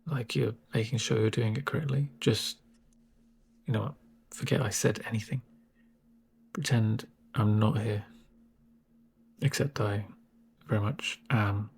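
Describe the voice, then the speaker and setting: Guy acting as a calm asmr medic, super breathy n soft, bit of creak, gentle w dry humour. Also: lowish voice, full character voice. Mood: dryly amused. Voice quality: breathy, near-whispered. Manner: gentle, unhurried, reassuring murmur. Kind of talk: soft-spoken ASMR roleplay.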